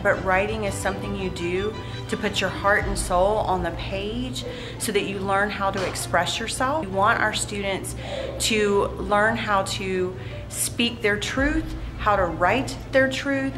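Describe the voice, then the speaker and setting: Earnest middle-aged woman explaining a program. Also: mid-range voice. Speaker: program director. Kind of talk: interview. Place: indoor school room.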